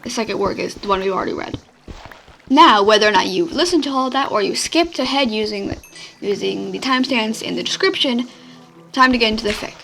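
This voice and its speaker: A woman's voice: fairly high pitch, expressive, a little fast, gravelly, a bit bright, soft background music. A woman reading fan fiction aloud as a podfic narrator. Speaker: podfic reader.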